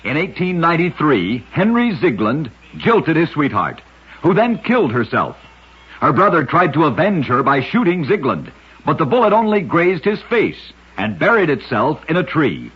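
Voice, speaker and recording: A man narrates in the style of 1940s radio, his voice very husky and fairly high. His delivery is measured and dramatic, with lively rises and falls, on a dull old radio recording. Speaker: radio narrator of strange true stories.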